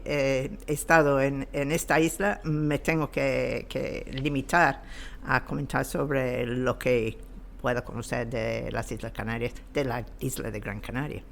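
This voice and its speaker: An elderly woman speaking as an interview guest, reflective and careful, often searching for her words. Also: low-pitched. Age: 70s or older. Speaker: interview guest, expert on local British community.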